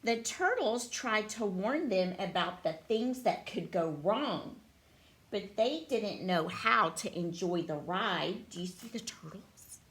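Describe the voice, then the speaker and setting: A woman reading a picture book aloud to young children, expressive, with dramatic pauses and chatty questions to the listeners. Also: medium pitch, husky.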